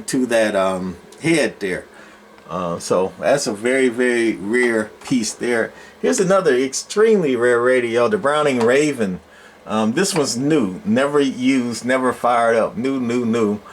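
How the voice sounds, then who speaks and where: A man giving a casual, unscripted show-and-tell as a collector, rambling and often pausing to search for words. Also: mid-range voice, slight background noise. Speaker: radio collector and channel host.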